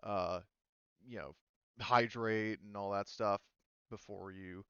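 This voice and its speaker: Clear-voiced man running a game, dull sound. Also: on the low side, steady tone, takes it slowly, bright, forceful tone, no echo. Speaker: game master. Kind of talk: casual tabletop game explanation.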